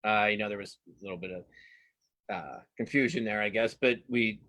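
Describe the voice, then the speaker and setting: A man with a fairly low voice chats as a guest in a casual interview. His delivery is informal and self-deprecating, like someone loosely telling his own story. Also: a little fast, pushed and bright. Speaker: guest cartoonist.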